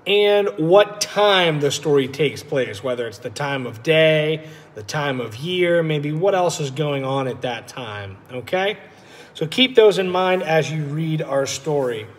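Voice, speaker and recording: A man with an instructive manner, speaking as a teacher reading a children's storybook aloud. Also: a little high. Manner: instructive, checks in with listeners. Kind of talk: lesson and storybook narration. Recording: echoey room with long reverb.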